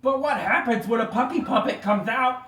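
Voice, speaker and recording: A woman voicing a theatrical, excitable dragon puppet in an improvised show, stammering and repeating words dramatically. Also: fairly high pitch, moderate pace, natural voice. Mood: worried. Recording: roomy, distant home recording with hiss.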